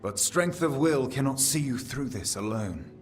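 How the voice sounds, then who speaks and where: A man's voice: mid-range voice, animated, moderate pace, soft background music, lightly acted. An actor playing a solemn, ancient fae king in a fantasy game, speaking in a formal, portentous manner. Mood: grave counsel. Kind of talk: scripted fantasy game acting.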